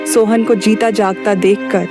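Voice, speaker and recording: A woman's voice: mid-range voice, fairly even intonation, unhurried, music in the background. A woman narrator reading a scripted moral story for a cartoon.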